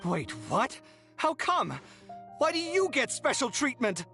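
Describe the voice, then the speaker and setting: A man's voice: very high voice, huge pitch swings, a little slow, gravelly, sharp tone, faint music behind, heavily acted. An actor playing a proud, easily exasperated young male architect who talks in an indignant, theatrical way. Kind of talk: video game dialogue.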